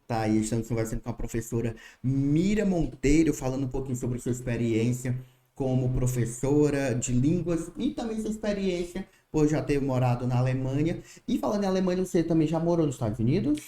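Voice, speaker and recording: A man hosts an interview, asking his questions hesitantly and restarting them with repeated words. His voice is mellow and sits in a middle pitch. The room around him is echoey. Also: expressive, a little fast. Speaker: interview host.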